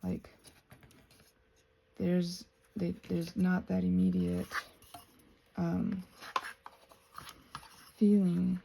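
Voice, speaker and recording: An American woman rambling casually while she does crafts, halting and trailing off into soft-spoken asides. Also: fairly low pitch, level tone, gravelly, slight background noise, low-quality recording. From United States. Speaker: craft and ASMR channel host.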